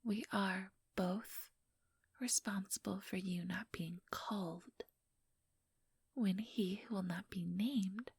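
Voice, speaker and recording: A woman voicing an ambitious, teasing vampire in an ASMR roleplay, breathy and whispery, with a sugary, mocking condescension. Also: mid-range voice, steady tone, slow pace, darkish tone, dry recording, exaggerated voice. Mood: smug, scheming. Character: ambitious, teasing female vampire, smugly scheming.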